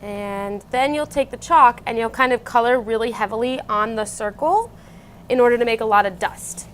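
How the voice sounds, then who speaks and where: A woman's voice: on the high side, normal speed, fairly bright tone. A woman co-hosting a craft show, demonstrating steps casually and chatting around a table indoors. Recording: noisy room with table sounds.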